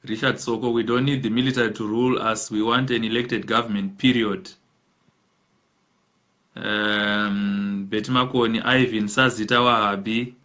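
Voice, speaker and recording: A man's voice: fairly low pitch, flat delivery, normal speed, pushed and bright. A man with a Zimbabwean accent hosting a live call-in show, reading out viewer comments and mixing English with Shona. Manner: reads out comment names, switches between English and Shona.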